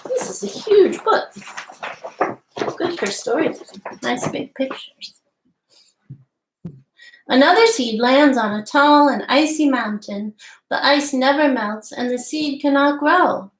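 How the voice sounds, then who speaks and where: A middle-aged woman with a fairly high, very animated voice hosts storytime for young children. She explains things simply and reads picture books aloud. The sound is clearly echoey, as from a webcam in a room at home. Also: slow, long pauses. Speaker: storytime host. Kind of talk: casual show-and-tell and picture-book read-aloud for young children. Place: small home room with wainscot walls, indoors.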